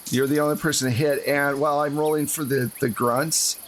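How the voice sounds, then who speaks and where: A man's voice: fairly high pitch, fairly even intonation, fairly quick, a bit bright, a little hiss or hum. A man narrating a tabletop horror roleplaying game as game master, in a casual, conversational way.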